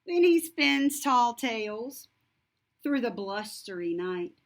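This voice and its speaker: A woman narrates a children's story in a fairly high, animated voice. She reads very slowly and deliberately, giving the rhymes an expressive sing-song swing. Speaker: storybook reader. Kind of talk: scripted children's read-aloud narration.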